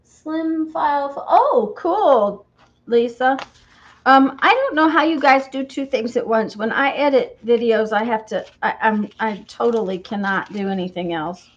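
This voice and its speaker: A woman hosting a craft livestream, chatting casually and greeting viewers by name as she works, her voice slightly croaky from a cold. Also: a little high, a little slow, dull audio, slight background noise. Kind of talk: casual chat while crafting.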